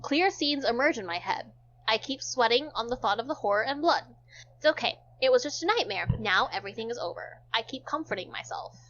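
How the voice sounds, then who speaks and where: A woman's voice: fairly high pitch, fairly bright tone, a little hiss or hum. A woman streamer reading a visual novel aloud, narrating dramatically and chatting casually. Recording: dull, muffled lo-fi audio.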